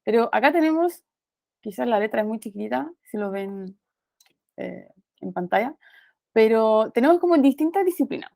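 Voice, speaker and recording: A woman's voice: a little high, animated, moderate pace, creaky, pauses often, warm tone, dry recording, studio quality. A woman from Romania with a Romanian accent, giving a guest lecture to a university class over a video call, with frequent "eh" fillers and "¿no?" tag questions. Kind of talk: online university class talk.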